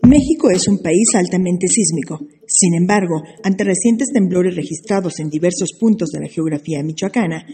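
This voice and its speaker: A woman reporter reading a scripted science news piece in a measured, explanatory way. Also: medium pitch, mellow, slightly rough. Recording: reverberant voice-over with music.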